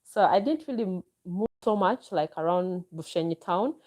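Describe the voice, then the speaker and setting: A woman's voice: lowish voice, animated, moderate pace, darkish tone. A Ugandan woman vlogger chatting casually and enthusiastically as she answers viewer comments, with frequent exclamations. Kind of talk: casual live chat.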